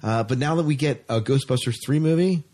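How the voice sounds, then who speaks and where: A man's voice: mid-range voice, brisk, darkish tone. A man hosting a podcast, talking casually and chattily about sci-fi news with an enthusiastic, informal manner. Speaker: podcast host.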